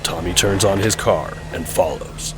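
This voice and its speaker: A man's voice: sits low, fairly even intonation, measured pace, noisy background. A man reading scripted narration as the narrator of an audio drama. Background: music.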